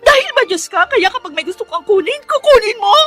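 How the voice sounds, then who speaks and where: A woman's voice: extremely high pitch, animated, fairly quick, a bit bright, faint music behind. A woman playing a wounded, bereaved young daughter in a Filipino radio drama, with an intense emotional delivery and a Filipino accent. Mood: bitter anguish.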